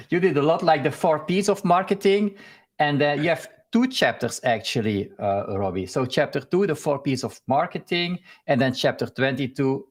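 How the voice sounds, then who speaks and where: Belgian guy hosting a live stream, husky voice, lots of uhs and little laughs. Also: mid-range voice. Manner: halting, many "uh" fillers, laughs often. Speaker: host.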